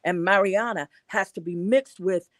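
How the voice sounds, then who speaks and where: A woman co-hosting a talk panel speaks with emphasis and some street slang. The sound is dry and close, with no room behind her. She hands the floor to the next speaker in a relaxed way. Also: mid-range voice, normal speed, dry recording.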